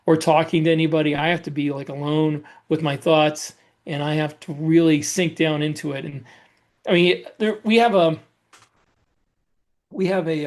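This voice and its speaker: Male podcast co-host, fairly high voice, conversational and explanatory, circles back over his phrases. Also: a little mellow. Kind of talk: casual podcast chat.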